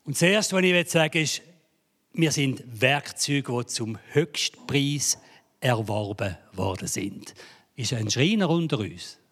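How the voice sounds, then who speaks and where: Slow, emphatic preacher. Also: male voice, mid-range voice. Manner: lively, jokey preaching with dramatic emphasis. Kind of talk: sermon. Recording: reverberant hall.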